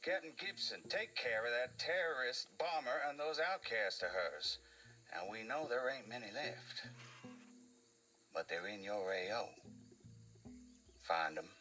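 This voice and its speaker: A ruthless Southern military boss in a game, slow and sharp-edged. He is smug and folksy while menacing, over faint music. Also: medium pitch, lively intonation, low-quality recording. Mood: commanding. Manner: drawling, menacing folksy charm.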